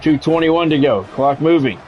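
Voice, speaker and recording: A man with a somewhat high-set voice commentates a football video game like a broadcast announcer. He speaks in short, clipped phrases built for big plays and game situations. Music plays faintly behind him. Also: lively intonation, moderate pace, dull audio. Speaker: video game streamer doing play-by-play.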